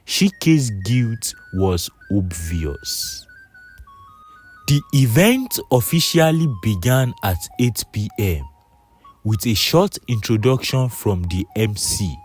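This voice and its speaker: Deep-voiced male storyteller, unhurried and expressive. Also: soft background music. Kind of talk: scripted storybook narration of a folktale.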